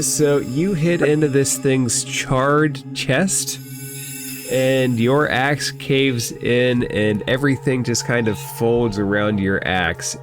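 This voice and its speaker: Man running a tabletop game over music. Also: mid-range voice. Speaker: game master. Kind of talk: narrating a tabletop fantasy game.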